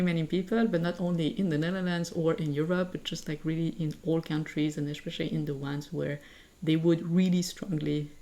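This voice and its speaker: Swiss woman, 40s, earnest podcast guest, lowish voice, halting with fillers and restarts. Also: darkish tone. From Switzerland. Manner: earnest, thinks aloud with many fillers and restarts. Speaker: guest, assistant professor and founder. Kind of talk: conversational interview answers.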